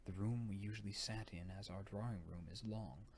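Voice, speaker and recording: A man reading a story aloud in a theatrical narration style, sometimes dropping to a hush. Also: lowish voice, steady tone, moderate pace. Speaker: audiobook reader.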